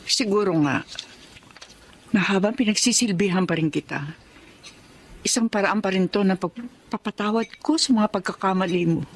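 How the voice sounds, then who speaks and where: A woman's voice: mid-range voice, moderate pace, pauses often, natural voice. A woman playing a wounded, long-suffering wife in a Filipino radio drama, emotional and reproachful. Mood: subdued, resigned.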